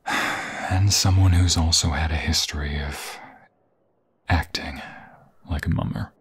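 A man playing an anxious, tender young vampire fiancé in an intimate ASMR roleplay, halting and emotional, often dropping into a breathy whisper. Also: extremely low pitch, fairly even intonation, full character voice. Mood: urgent, pleading.

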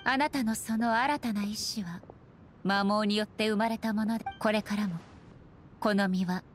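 A woman's voice: mid-range voice, moderate pace, lightly acted. An actress playing a stern, unfeeling female puppet warlord in a Japanese game, speaking formally, coldly and in declamatory phrases. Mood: solemn, resolute. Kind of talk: Japanese anime game acting. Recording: clear game music behind the voice.

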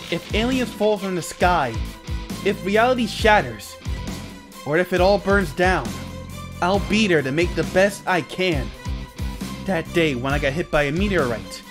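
A man's voice: fairly high pitch, natural voice. A man playing an earnest, wimpy teen boy superhero who narrates and talks in a comic dub. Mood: resolute. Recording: music behind the voice.

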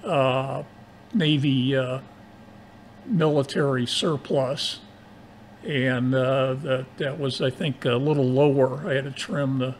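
A man in his sixties with an American accent chatting casually as a radio operator, in halting, measured phrases over a noisy recording. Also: medium pitch, fairly even intonation. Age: 60s. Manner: halting, measured delivery with spelled-out call signs. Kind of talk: casual ham radio chat.

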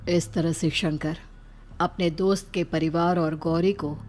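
A woman's voice: fairly low pitch, fairly even intonation, measured pace, a little mellow, slight background noise. A woman narrating a scripted horror story.

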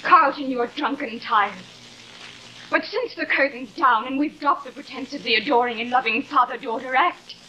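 A woman's voice: high voice, moderate pace, pushed and bright, low-quality recording. A young woman playing a weary, defiant actress daughter, earnest and dramatic, in theatrical 1950s television style. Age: young adult. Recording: hissy old 1950s television soundtrack.

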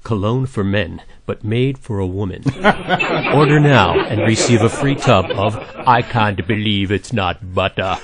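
A man's voice: lowish voice, a little slow. An actor playing a jovial male talk show host, bantering with a guest. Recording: loud crowd behind.

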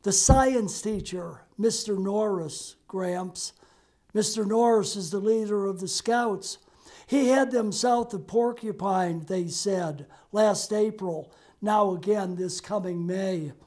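A man reading the part of an eager young boy talking to his grandfather, in slow, measured verse. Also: high voice, a little mellow.

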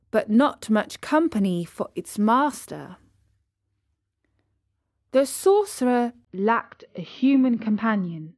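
A woman tells a children's story from a script in a slightly high voice. Her delivery is very unhurried, with deliberate, measured phrases. The sound is close and dry, without room echo. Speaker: storyteller, narrator.

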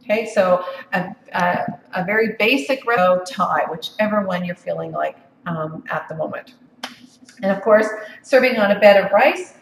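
A woman's voice: mid-range voice, normal speed, gravelly, slight background noise. A woman giving a casual live cooking demonstration, chatty and full of ums and restarts. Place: home office kitchen. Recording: echoey room, from far off.

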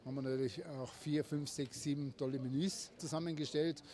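A man talking slowly in an interview, hesitating as he lists things. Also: fairly high pitch, steady tone, warm tone. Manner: slow, hesitant listing. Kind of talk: interview answer.

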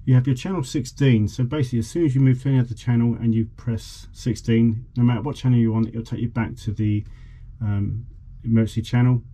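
A man's voice: mid-range voice, fairly even intonation, fast, hardly any pauses, warm tone. A man chatting casually as a vlogger, showing and explaining things informally. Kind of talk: casual vlog chat and informal demonstration. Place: outdoors at a marina, partly aboard a boat.

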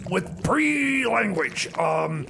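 A man playing a flustered, distressed radio DJ in a comedy radio play, halting and stammering as he dithers. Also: sing-song, sharp tone, a little hiss or hum, heavily acted, low-quality recording. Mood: rambling, hesitant. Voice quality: creaky, high-pitched. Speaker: comedy radio show host. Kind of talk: comedy radio theater banter. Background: music playing behind the voice.

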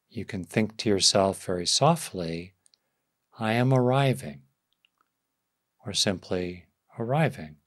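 A man leading a guided meditation as a teacher, speaking gently and softly in short phrases with long pauses. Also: sits low, breathy edge, no room sound, clean studio sound.

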